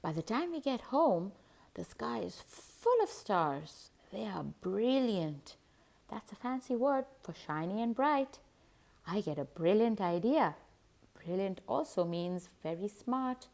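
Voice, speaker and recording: A woman narrating a children's picture book as host, in an expressive, deliberate storytelling manner. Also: medium pitch, vocal fry. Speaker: host and read-aloud narrator.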